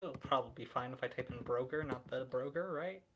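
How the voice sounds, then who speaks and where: A man's voice: mid-range voice, a little fast. A man playing a tabletop roleplaying game, casually thinking aloud through a turn.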